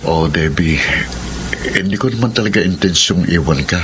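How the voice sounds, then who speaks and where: A man's voice: low-pitched, normal speed, background noise, lightly acted. An actor playing an earnest, sentimental leading man in a Filipino romantic radio drama, with a Filipino accent. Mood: conciliatory.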